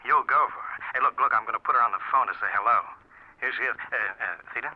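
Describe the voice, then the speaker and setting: An actor playing an eager, breezy newspaper reporter with slangy, excitable delivery in a 1940s radio drama. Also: male voice, mid-range voice, fast, lightly acted. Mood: eager, smitten. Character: eager, smitten male reporter sidekick. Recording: muffled 1940s radio recording.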